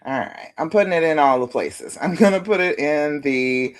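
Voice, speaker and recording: A woman relationship coach with a deep voice, talking casually and reassuringly to viewers on a phone livestream, often checking in with "okay?". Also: normal speed. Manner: warm, chatty, reassuring, tag questions like "okay?". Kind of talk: casual live-stream coaching talk. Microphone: phone live stream.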